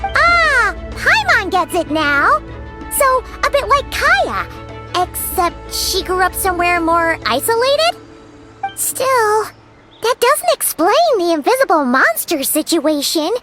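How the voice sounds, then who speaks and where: A woman voicing a small, perky, chatty fairy girl companion in a video game, with a squeaky childlike voice and exclamatory manner. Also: big pitch swings, slow pace, music in the background, exaggerated voice. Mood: curious. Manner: exclamatory, speaks of herself in third person. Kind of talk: animated video game character acting.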